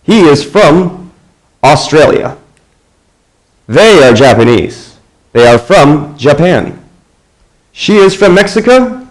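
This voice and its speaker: A man in his thirties from the United States, narrating an English lesson in a clear, deliberate way. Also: fairly high pitch, sing-song, low-quality recording. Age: 30s. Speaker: English teacher. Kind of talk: scripted classroom narration.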